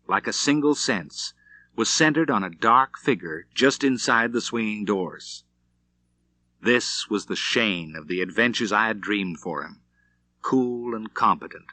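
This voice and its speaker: A man narrating a western novel as an audiobook, with measured, deliberate, dramatic delivery. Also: fairly low pitch, sharp tone, no room sound.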